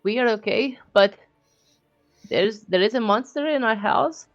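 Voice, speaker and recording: A game master voicing a frightened young girl who is watching over her little brother, pleading in halting bursts. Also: mid-range voice, a bit bright, highs cut off, soft background music, pitched above the performer's own voice. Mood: worried. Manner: anxious, pleading, halting speech.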